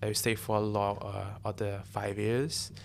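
A young man from Brazil with a Brazilian Portuguese accent, a university student speaking English as a second language, telling his faith story in a relaxed, halting way. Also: on the low side, gravelly, slight background noise. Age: young adult. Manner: relaxed, halting non-native phrasing. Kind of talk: casual faith testimony chat.

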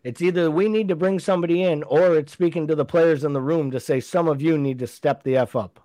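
A man arguing his case in a casual sports chat, pushing hard and asking rhetorical questions. His voice sits a little high for a man and sounds dry and close, with no room around it. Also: fairly even intonation, brisk, dark tone, no room sound. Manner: emphatic, argumentative, rhetorical questions. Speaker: podcast co-host.

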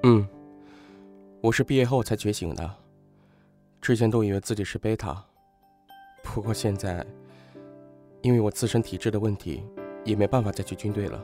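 A man's voice: lowish voice, moderate pace, pauses often, warm tone, soft background music, close to an everyday voice. A man playing a polite, admiring young academy graduate in a modern audio drama. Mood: earnest, admiring.

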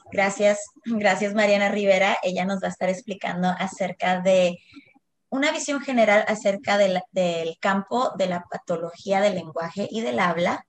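A woman hosting a podcast who welcomes and introduces a guest in measured, polite phrases. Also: medium pitch, slight background noise. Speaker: podcast host.

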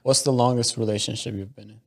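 Quick-quipping man asking questions. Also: medium pitch, fairly even intonation, a little mellow. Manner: prodding questions and quick quips. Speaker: podcast co-host. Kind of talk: casual chat.

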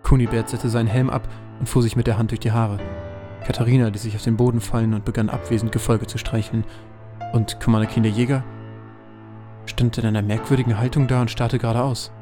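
Brisk male storyteller over music. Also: medium pitch, little pitch movement. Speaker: narrator. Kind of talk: scripted audio drama narration.

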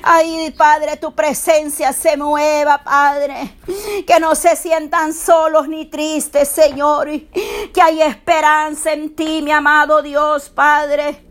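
A woman's voice: sits high, level tone, takes it slowly. A woman leading a fervent, emotional intercessory prayer, pleading and repeatedly calling out to God in a tearful voice.

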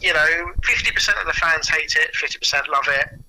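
A man being interviewed, chatting casually through anecdotes in a halting way, often repeating words as he starts a sentence. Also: fairly high pitch, noisy background. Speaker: interview guest, audio producer and writer.

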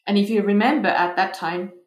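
A woman's voice: medium pitch, brisk. A woman in her fifties, a senior lecturer, answering in a reflective, storytelling way. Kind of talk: interview answer. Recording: echoey room.

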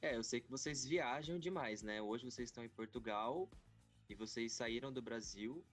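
A man's voice: mid-range voice, moderate pace, faint music behind. A man with a Brazilian accent hosting a podcast as a casual interviewer. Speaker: podcast host.

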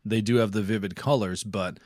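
A man's voice: lowish voice, fairly even intonation, brisk, a little mellow, dry recording. Casual male podcast host, dry close sound. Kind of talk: casual tech and gaming podcast chat.

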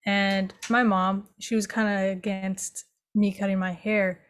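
Young adult woman, even, unhurried panel speaker, mid pitch. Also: clean tone. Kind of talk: casual personal storytelling.

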